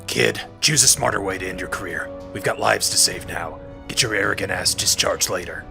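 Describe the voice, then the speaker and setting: An actor playing a gruff older heroic commander in an audio drama, forceful and blunt, with a rough, gravelly voice. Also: male voice, low-pitched, a little fast. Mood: stern. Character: gruff, defiant heroic older military commander, part synthetic. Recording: music under the voice.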